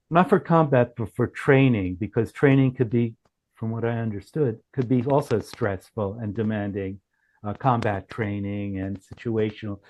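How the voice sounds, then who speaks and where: A man presenting as a company founder in a business pitch on a group call, speaking haltingly with frequent restarts. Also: no echo, muffled recording. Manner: halting, often restarts phrases. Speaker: startup founder presenting his product.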